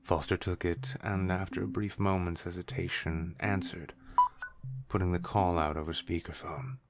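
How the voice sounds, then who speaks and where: A man's voice: low voice, steady tone, moderate pace, heavy background noise. A man narrating a scripted story as its narrator.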